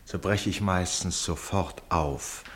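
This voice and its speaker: An actor in a German radio play playing an eccentric, philosophical sleuth who muses theatrically with amused conviction. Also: male voice, deep voice, slow pace. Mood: deliberate. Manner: musing, theatrical, emphatic.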